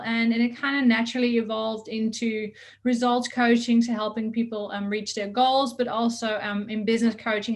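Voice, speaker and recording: A woman with a mixed Dutch and South African accent, talking earnestly as a coach on an interview podcast, with frequent ums and restarts. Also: on the high side. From Netherlands. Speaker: results coach guest.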